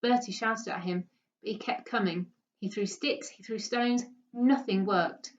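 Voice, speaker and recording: A woman reading a children's story aloud with expressive narration. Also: medium pitch, a little slow. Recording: dull, distant microphone.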